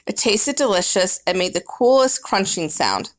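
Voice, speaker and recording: A woman's voice: medium pitch, animated, normal speed. A woman reading a children's book aloud as first-person narrator, with dramatic, emphatic storybook phrasing.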